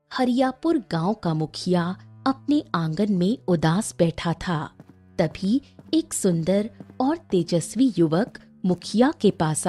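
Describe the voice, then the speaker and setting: A woman's voice: on the low side, warm tone. A woman narrating a children's story in a scripted storytelling style.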